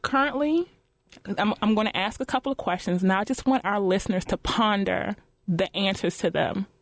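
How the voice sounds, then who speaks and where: A woman's voice: medium pitch, moderate pace, no room sound. Upbeat woman radio co-host. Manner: upbeat, poses rhetorical questions to listeners. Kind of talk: casual radio talk show chat. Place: radio studio.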